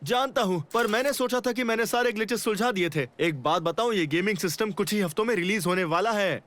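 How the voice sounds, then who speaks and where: High-voiced, fretful cartoon inventor. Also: male voice, fast, a bit bright. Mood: dismayed. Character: vain, anxious male game inventor, easily exasperated. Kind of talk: animated comedy dub.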